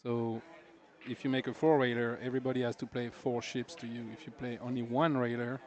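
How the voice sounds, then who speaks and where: A man's voice: mid-range voice, highs cut off. French-accented man commentating pool. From France. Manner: relaxed, joking, halting with restarts. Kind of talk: casual live commentary.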